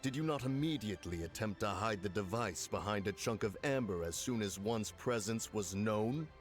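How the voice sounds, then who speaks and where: Man as a low-voiced, pompous immortal. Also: lively intonation, normal speed, soft background music, close to an everyday voice. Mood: indignant. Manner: pompous, archaic, formal. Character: haughty male immortal beast in human guise, pompous. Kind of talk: video game voice acting.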